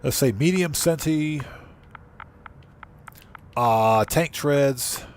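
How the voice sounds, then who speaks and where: A man's voice: fairly high pitch, very slow, slight background noise. A man livestreaming a video game, giving casual commentary and muttering to himself as he plays. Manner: muttering to himself while playing.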